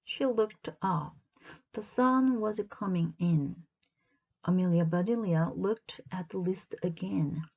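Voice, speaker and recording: A woman reading a children's story aloud as narrator, in a deliberate, expressive storytelling manner. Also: on the low side, gravelly, breathy edge, dull audio. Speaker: storybook reader.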